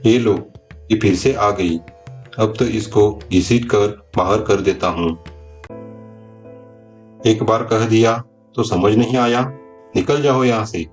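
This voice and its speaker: A man's voice: lowish voice, slow, long pauses, soft background music. A man voicing a haughty, overbearing rich brother in a cartoon moral story. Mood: contemptuous, angry. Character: haughty rich brother, scornful of his poor sister.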